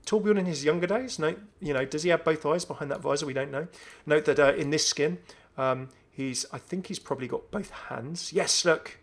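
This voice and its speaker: A man's voice: on the high side, normal speed, few pauses. A man hosting a gaming video, commenting casually and enthusiastically as he thinks aloud. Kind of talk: casual game update commentary.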